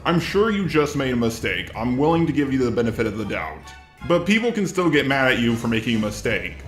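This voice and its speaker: A man giving scripted online drama commentary in a measured, conciliatory way. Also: mid-range voice, big pitch swings, reverberant, faint music behind. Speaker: YouTube commentator.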